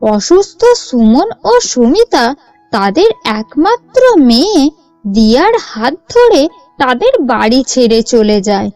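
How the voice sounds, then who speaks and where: Female narrator for a cartoon tale, unhurried and swooping in pitch, measured expressive delivery, dry sound. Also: no room sound, soft background music. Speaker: storyteller. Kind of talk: scripted story narration for a cartoon.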